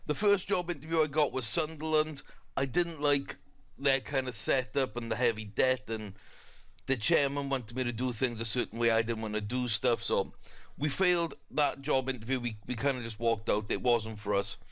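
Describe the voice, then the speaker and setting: A man's voice: mid-range voice, normal speed, a bit bright, dry recording. A young man with a South Welsh accent, chatting casually through a football management game with lots of fillers and restarts. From South Wales. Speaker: gaming YouTuber.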